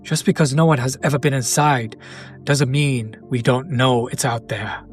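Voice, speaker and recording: A man playing a sardonic, teasing demon in an intimate roleplay audio drama, speaking deliberately and directly to the listener. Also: medium pitch, steady tone, soft background music, close to an everyday voice. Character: male demon buyer, sardonic, teasing, curious about his captive.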